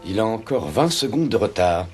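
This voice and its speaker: A fussy English gentleman in a cartoon. He is stern and pompous, choosing words precisely, with a very varied melody. Loud music runs behind him. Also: lowish voice, very animated, moderate pace. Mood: fussy, displeased. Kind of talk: French cartoon dub acting.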